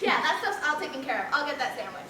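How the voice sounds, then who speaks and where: A teenage girl acting an earnest, grieving young wife with halting melodrama in a high school stage comedy. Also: very animated, a loud crowd. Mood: anguished. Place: large theater stage, indoors. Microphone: far camera. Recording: very echoey, noisy hall from far off.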